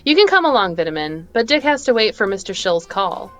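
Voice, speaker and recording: A woman's voice: medium pitch, very animated, brisk, fairly bright tone, faint music behind. A woman playing a detective's earnest young girlfriend in a radio play. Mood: earnest, helpful. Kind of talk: radio play table read.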